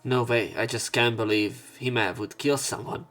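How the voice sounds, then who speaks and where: A man doing a let's play, reading a visual novel aloud with expressive dialogue and casual, blunt commentary. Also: medium pitch, normal speed. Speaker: let's player. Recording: game music behind voice. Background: game music.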